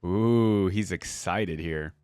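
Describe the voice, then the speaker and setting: A man's voice: low voice, takes it slowly, darkish tone, smooth, dry recording, soft background music. A man giving casual, informal reaction commentary on a video game, talking directly to viewers.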